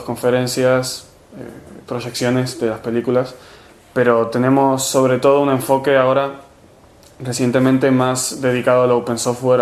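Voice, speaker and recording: Argentine man, interviewee; flat delivery, halting with fillers, echoey room. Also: medium pitch. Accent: Argentine Spanish. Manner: hesitant, many fillers and restarts. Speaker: movement organizer. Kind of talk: interview answers.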